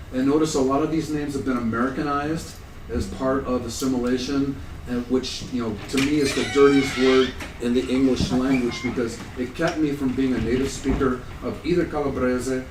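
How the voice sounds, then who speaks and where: A man in his sixties from the United States speaks at a conference in a relaxed, self-deprecating way, often sounding amused. The mic sits some distance from him, so his voice comes across roomy and slightly remote, with faint background noise. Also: medium pitch. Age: 60s. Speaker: conference presenter. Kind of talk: academic conference talk, anecdotal. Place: lecture room at a conference. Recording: echoey room, distant mic.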